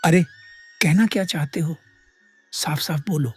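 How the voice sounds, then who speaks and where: Man acting a husky, slow village headman. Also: a little high, a little mellow, soft background music. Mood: questioning, alarmed. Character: village headman, older man, authoritative, questioning and deliberate. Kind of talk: animated moral story dialogue.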